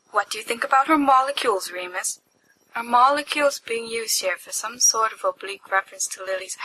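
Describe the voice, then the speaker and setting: Bright, high-voiced woman acting out fiction. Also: rough recording. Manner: expressive, playful, acting out giddy young men. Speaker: amateur podfic reader. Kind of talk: animated dramatized fiction reading with character voices. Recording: home recording with faint background noise.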